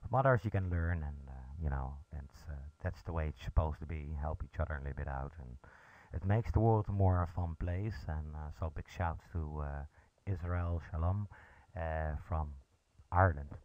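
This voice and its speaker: A man with a low voice and a Dutch accent talks through a casual tutorial. He is laid-back and hesitant, rambling from point to point. The recording is dull and lo-fi, like a simple home setup. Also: moderate pace, no room sound. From Netherlands (Amsterdam). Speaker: music production tutorial host. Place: indoors at a computer, voice over screen recording.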